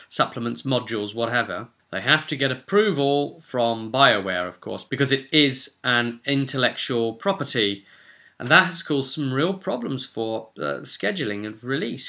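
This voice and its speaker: A man's voice: medium pitch, bright, forceful tone. A man talking informally in a casual gaming vlog, thinking aloud as a tabletop game master in a conversational way.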